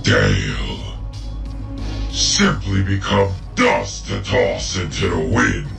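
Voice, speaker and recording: A man voicing a menacing, world-weary villain who relishes destruction, slow and ominous, in a comic dub. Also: sing-song, roomy sound, distant mic, music in the background, exaggerated voice. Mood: gloating. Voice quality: gravelly, low. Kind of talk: fan comic dub acting.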